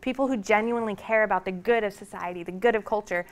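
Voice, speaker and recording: A woman's voice: mid-range voice, quick pace. Woman, mid-range voice, college lecturer, earnest and encouraging classroom delivery. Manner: earnest, encouraging, builds lists of questions. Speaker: public relations lecturer.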